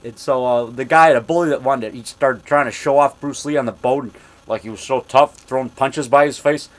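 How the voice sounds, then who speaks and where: A man in his fifties telling a rambling story in a loud voice, repeating himself and getting worked up as he goes. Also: medium pitch, steady tone, a little fast. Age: 50s. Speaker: monster movie maker telling a story. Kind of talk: casual storytelling monologue.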